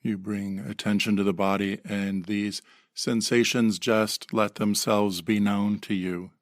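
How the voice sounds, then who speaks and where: A man leading a guided meditation in a gentle, unhurried manner with pauses, recorded close to the microphone. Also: lowish voice, flat delivery, dry recording. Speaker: meditation teacher.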